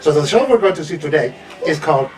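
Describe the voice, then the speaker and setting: A man's voice: a little high, expressive, fast talker, a crowd in the background. A man hosting a children's show, chatting playfully and teasingly with a small child. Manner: playful, teasing, repeats words. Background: music.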